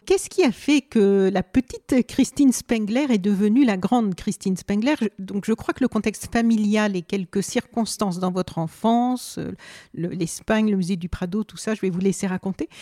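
A woman's voice: mid-range voice, normal speed, dark tone, dry recording, studio quality. A woman hosting an interview, asking courteous, carefully framed questions. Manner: courteous, supportive backchannels. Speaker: interviewer, radio host.

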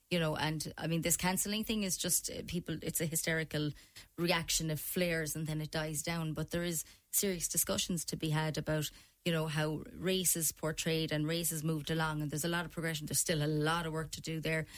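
An Irish woman giving her opinions as a panel guest in a chatty, colloquial, meandering way. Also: sits low. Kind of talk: casual discussion, opinion.

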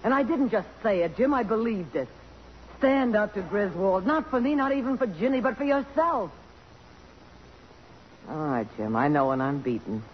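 Actress as a defiant, sharp-tongued American career woman. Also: mid-range voice, very animated, normal speed, close to an everyday voice. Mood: earnest, pressing. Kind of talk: 1950s radio drama acting. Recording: dull, noisy old radio broadcast recording.

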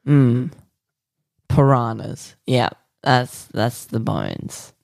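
A man co-hosting a podcast, rambling through absurd improvised stories in a deadpan way with lots of fillers. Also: medium pitch, creaky, no room sound. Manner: slow, rambling, deadpan nonsense with many fillers. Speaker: podcast co-host.

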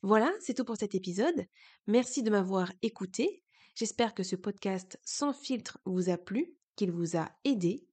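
A woman's voice: mid-range voice, animated, measured pace, dark tone, husky. Woman with a cold, candid podcast talk. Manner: candid, earnest, reflective. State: voice changed by a cold. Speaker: podcast host. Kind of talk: personal confessional monologue.

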